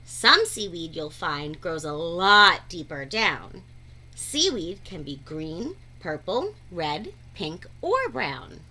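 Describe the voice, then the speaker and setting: A woman reading a children's picture book aloud in an expressive, deliberate, teacher-like way. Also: on the low side. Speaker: read-aloud storyteller.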